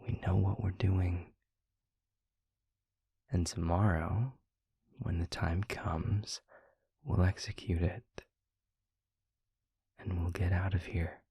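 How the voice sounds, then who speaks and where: A man playing a tender, weary demon lover in an intimate audio roleplay, speaking softly and deliberately in a hushed, breathy, gravelly voice close to the microphone. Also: low-pitched, fairly even intonation, no room sound, heavily acted. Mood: tender, earnest. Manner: slow, deliberate, soft, wordy romantic phrasing. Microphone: close microphone, dry.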